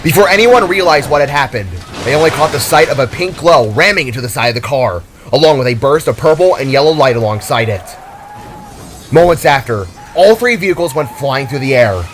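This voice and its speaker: A man's voice: mid-range voice, animated, a little fast, fairly bright tone, soft background music. A man reading a scripted, dramatic story as its narrator. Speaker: author and narrator.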